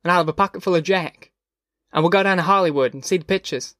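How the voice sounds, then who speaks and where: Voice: mid-range voice, fast talker, a bit bright, no echo, clean studio sound, pitched above the performer's own voice, close to an everyday voice. A reader voicing a cocky, evasive young country man with an offhand rustic drawl in an audiobook story. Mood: breezy.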